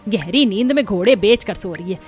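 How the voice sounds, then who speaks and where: A woman voicing a scolding, scheming middle-aged mother-in-law in an animated moral story, with a nagging, melodramatic delivery. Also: medium pitch, a little fast, faint music behind, lightly acted. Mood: angry scolding.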